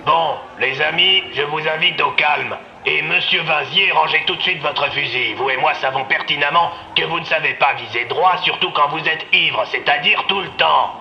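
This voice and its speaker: A man's voice: fairly high pitch, moderate pace, creaky, hardly any pauses, soft background music, voice pushed up. A man playing an unflappable, dryly mocking police commissioner speaking through a megaphone in an audio drama. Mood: calm, mocking. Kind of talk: audio fiction character acting. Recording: muffled echoey megaphone sound.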